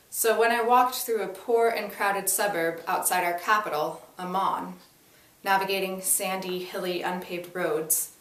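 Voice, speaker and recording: A woman reads a first-person story as a dramatic performance. Her delivery is deliberate and emphatic, at a middling pitch. The room echoes clearly around her, and she sounds set back from the microphone. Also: fairly bright tone. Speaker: reader performing a dramatic reading. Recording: echoey room, distant mic.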